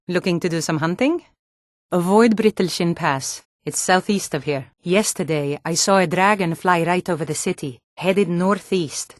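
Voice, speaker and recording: A woman's voice: lowish voice, no room sound, clean studio sound, close to an everyday voice. An actress voicing a hardy, no-nonsense Nord townswoman and guard in a fantasy video game, with a firm, plain-spoken delivery. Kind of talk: scripted fantasy game dialogue.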